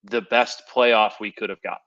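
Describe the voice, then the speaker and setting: Low, bright-voiced man on dull audio. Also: steady tone, normal speed. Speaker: sports podcast co-host. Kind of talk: casual sports discussion.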